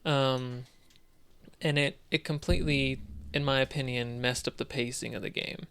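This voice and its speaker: A man's voice: medium pitch, steady tone, moderate pace, a bit bright, clear, resonant tone. A man co-hosting a casual discussion of video games, giving his opinions in a hesitant, conversational way. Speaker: podcast co-host.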